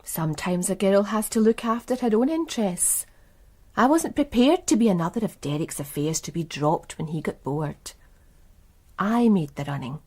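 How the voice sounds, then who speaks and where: A woman's voice: medium pitch, animated, normal speed, warm tone, lightly acted. Female actor, cool knowing businesswoman, calculating, seductive, mid-range voice, naturalistic radio crime drama. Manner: poised, guarded, knowing.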